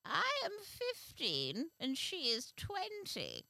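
A woman voicing an old woman spinner posing as a young maid, with drawn-out, exaggerated delivery. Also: sits high, huge pitch swings, vocal fry, pushed and bright, no room sound, voice pushed up, heavily acted. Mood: coy.

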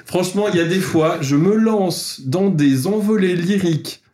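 A man's voice: sits high, moderate pace, darkish tone. A man hosting a podcast interview, playful and teasing, fond of flowery introductions. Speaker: podcast host.

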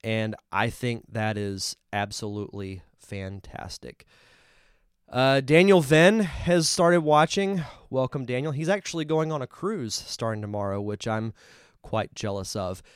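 A man's voice: mid-range voice, a little slow, clean tone, no echo, studio quality. A man with a congested voice hosting a live podcast, chatting casually and enthusiastically as he reviews a film. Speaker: podcast host.

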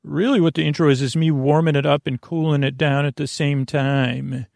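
A man hosting a bedtime podcast in a meandering, halting monologue, often interrupting himself and stammering into restarts. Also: on the high side, fairly even intonation, vocal fry, darkish tone, no room sound, studio quality. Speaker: podcast host.

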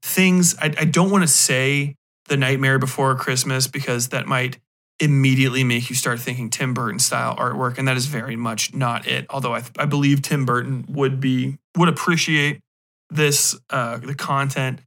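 A man's voice: mid-range voice, steady tone, normal speed, fairly bright tone. Rambling man podcasting in a mid-range voice. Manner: rambling, emphatic, profane slang. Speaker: podcast host. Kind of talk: casual unscripted podcast review.

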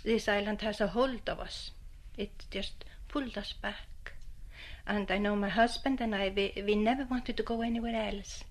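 Woman talking in english, earnest and plain, bit halting. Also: mid-range voice, steady tone. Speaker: interviewee, local resident. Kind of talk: interview recollection.

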